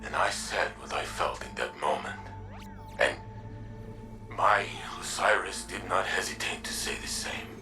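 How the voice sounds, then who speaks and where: A man's voice: deep voice, a little slow, recorded from a distance, over music. An actor playing a solemn male warrior in a video game. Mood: tender.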